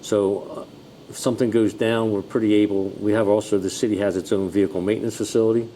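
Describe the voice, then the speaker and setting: A man's voice: fast talker, poor audio. A middle-aged American man, a fire chief, answering interview questions in a plain-spoken, matter-of-fact, conversational way. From New Jersey, United States. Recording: steady background noise with low rumble.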